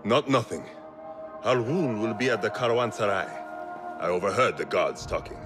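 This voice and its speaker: An actor playing a tough, grim and defiant rebel fighter in a video game, speaking tersely and bluntly. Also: male voice, fairly low pitch, normal speed, over music, close to an everyday voice. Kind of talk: video game cutscene acting.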